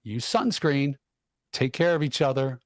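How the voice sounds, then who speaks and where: A man's voice: mid-range voice, animated, unhurried, no echo, clean studio sound. A man hosting a radio show, reading a scripted sign-off. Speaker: show host.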